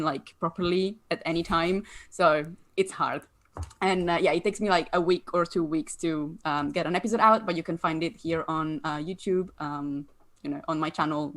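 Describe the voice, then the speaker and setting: Low-voiced woman chatting, a little hesitant. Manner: hesitant, self-deprecating. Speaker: guest artist. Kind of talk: casual chat.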